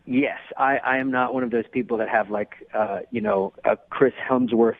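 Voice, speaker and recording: A man's voice: medium pitch, lively intonation, moderate pace, gravelly, dry recording, phone-quality sound. A man calling in as a radio guest, telling a story in a casual, earnest, slightly self-conscious way with lots of hedging. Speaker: radio call-in guest recounting a date. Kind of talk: casual anecdotal chat.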